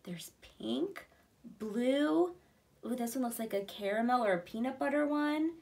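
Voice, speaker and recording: Woman, thirties, early childhood read-aloud; high-pitched, unhurried, expressive and simple in her explanations. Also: gravelly. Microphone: camera a short distance away.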